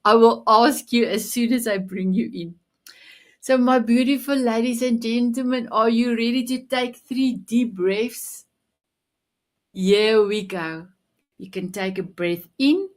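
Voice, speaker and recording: Afrikaans-speaking lady hosting a pep talk show, slow and sweet, lots of pet names, kinda high voice. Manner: upbeat, affirming, full of endearments. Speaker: host. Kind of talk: live-streamed motivational talk show.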